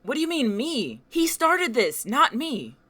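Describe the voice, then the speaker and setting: A woman's voice: extremely low pitch, big pitch swings, normal speed, full character voice. A woman playing a hot-tempered, exasperated young female student in an audio drama, curt and sarcastic. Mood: angry.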